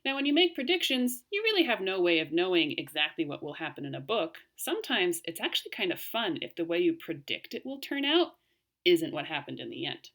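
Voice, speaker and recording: Female teacher for children's read-aloud; slightly low-set voice, highly animated intonation, clear phrasing. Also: a little fast. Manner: expressive, clearly phrased for young listeners. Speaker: teacher reading aloud to pupils. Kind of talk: read-aloud storytelling and lesson talk for children.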